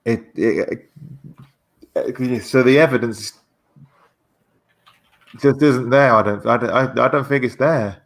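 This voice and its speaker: A man chats about politics on a livestream in a medium-pitched voice. His pace is very slow. He is halting and hesitant, often repeating words while he works toward his point. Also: vocal fry. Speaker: discussion participant on a livestream. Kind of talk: casual political discussion.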